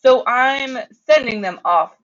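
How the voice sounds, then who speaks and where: A reader voicing a small, scolding old tree-guarding creature from a storybook, croaky, indignant and emphatic. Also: high voice, slow, fairly bright tone, highs cut off, pitched above the performer's own voice. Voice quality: coughing, croaky.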